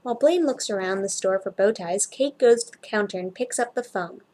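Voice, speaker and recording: A woman's voice: medium pitch, a little fast, dark tone. A woman reading fiction aloud as an amateur narrator, expressively, with the occasional stumble over a word. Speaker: amateur story reader.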